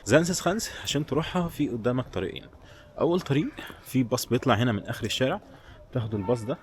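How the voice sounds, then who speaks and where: A man's voice: medium pitch, animated, very fast. A man with an Egyptian Arabic accent giving casual, chatty travel commentary straight to his viewers while walking. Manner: chatty, addresses viewers directly. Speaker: travel vlogger. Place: outdoors, walking in streets and a village. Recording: noisy outdoor street and traffic sound.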